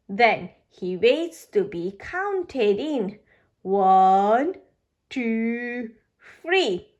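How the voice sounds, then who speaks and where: A woman reading a children's storybook aloud for toddlers, with a halting delivery and the odd slip. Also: on the high side. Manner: halting reading with slips and pauses. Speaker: children's book reader on a video channel.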